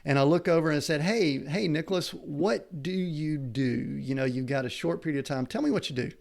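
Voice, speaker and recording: A man's voice: on the high side, fairly quick, vocal fry, darkish tone. A middle-aged man hosting a podcast interview, talking in a conversational, enthusiastic way with frequent restarts. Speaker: podcast host and business coach. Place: family home indoors.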